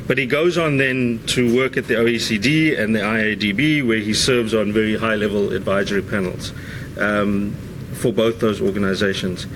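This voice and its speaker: A man's voice: fairly low pitch, fairly even intonation, moderate pace, background noise. Man introducing a speaker. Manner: conversational, frequent uh fillers and "right?" tags. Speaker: seminar host.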